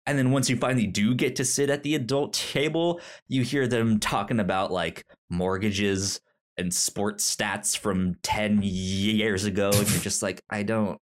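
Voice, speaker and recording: A man co-hosting a casual film discussion, speaking haltingly and repeating words as he searches for his phrasing. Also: lowish voice. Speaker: podcast co-host.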